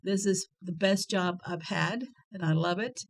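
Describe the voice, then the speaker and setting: A woman's voice: fairly low pitch, unhurried. An older woman from Texas, a guest on an interview, reflecting on her working life in a rambling, self-correcting way. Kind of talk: casual interview chat.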